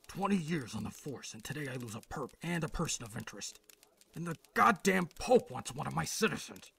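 A man playing an exasperated, short-tempered veteran police boss in a radio drama, barking and sarcastic with a gravelly, harsh voice. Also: high-pitched, sharp tone, heavily acted.

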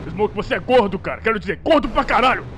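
A man plays a teasing Brazilian gangster in a video game dub. His slangy talk is high and races along. Clear noise and car sounds lie behind. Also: lively intonation, fast, a bit bright. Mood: brash. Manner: slangy, mocking. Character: brash, teasing male street gangster. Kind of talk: Portuguese fan dub of a crime video game.

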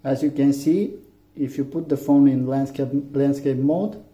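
Slow, halting Romanian-accented man, deep mellow voice. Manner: halting, many fillers, plain instructions. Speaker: tech tutorial maker. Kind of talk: hands-on phone tutorial. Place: room indoors, phone on a table. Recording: echoey, dull lo-fi room.

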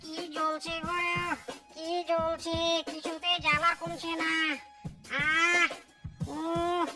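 A woman's voice: very high voice, completely flat delivery, slow, loud music behind the voice, heavily acted. A woman voicing a melodramatic animal husband in a cartoon, wailing and complaining. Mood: suffering, pleading. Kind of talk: exaggerated cartoon voice acting.

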